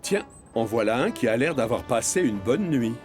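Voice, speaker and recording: Male cartoon narrator over clear music. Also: medium pitch, expressive, normal speed, darkish tone. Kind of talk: scripted storytelling narration for children's cartoon.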